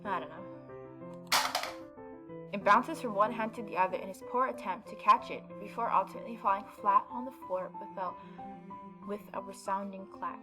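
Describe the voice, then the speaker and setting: A woman's voice: medium pitch, moderate pace, long pauses. A woman gaming YouTuber reading visual novel narration aloud and adding casual asides. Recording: music behind the voice. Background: visual novel game music.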